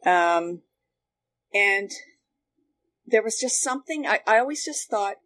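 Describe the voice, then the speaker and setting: A woman with a Canadian accent chatting casually and telling stories in an animated way, as a guest looking back. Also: mid-range voice, slow pace. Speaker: guest, former radio worker. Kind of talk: casual reminiscing conversation. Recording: noticeable background noise.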